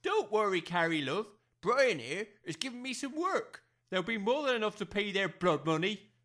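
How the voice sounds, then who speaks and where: A man's voice: sits high, big pitch swings, normal speed, a bit bright, exaggerated voice. A man playing a cocky, defiant village father in an audio comedy drama, blustering and colloquial. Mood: reassuring.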